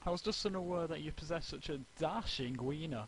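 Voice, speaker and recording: A man's voice: on the high side, lively intonation, brisk, background noise, lightly acted. A man voicing a genteel, dramatic female unicorn in an affected, ladylike manner for a cartoon fan fiction. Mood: prim.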